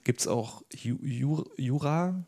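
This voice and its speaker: A man's voice: medium pitch, takes it slowly, mellow. Man hosting a casual, playful podcast. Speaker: podcast host. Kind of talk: casual podcast moderation, conversational.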